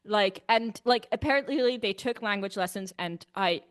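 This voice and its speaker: A woman's voice: medium pitch, normal speed. Woman, from Hungary, native Hungarian speaker, podcast co-host, frank casual film chat. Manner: chatty, frank, many fillers and restarts.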